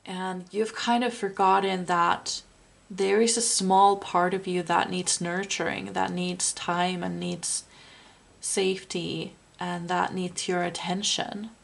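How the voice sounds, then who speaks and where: A woman with a gravelly, creaky voice that is going hoarse as she speaks. She gives a casual tarot reading in a meandering, chatty way, talking straight to the viewer at a middling pitch. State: hoarse, losing her voice. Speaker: tarot reader.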